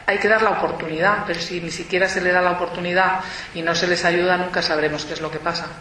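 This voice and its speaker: High-voiced man arguing firmly. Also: steady tone, fast talker, sharp tone, slight background noise. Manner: firm, insistent arguing. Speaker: debate participant. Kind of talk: studio debate. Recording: echoey room from far off.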